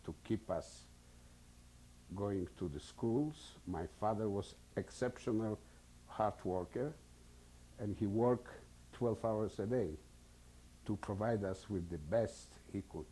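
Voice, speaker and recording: A man in his seventies from Poland with a Polish accent, giving oral history testimony slowly and hesitantly, often searching for English words. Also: fairly low pitch, warm tone, poor audio. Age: 70s. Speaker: interviewee.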